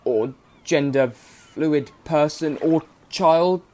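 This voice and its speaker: A man's voice: on the high side, very slow, darkish tone. A man playing an irreverent, jokey narrator of a dystopian radio play, talking colloquially and wryly to listeners. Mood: wry, chatty. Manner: colloquial, wry. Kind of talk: chatty storytelling addressed to listeners.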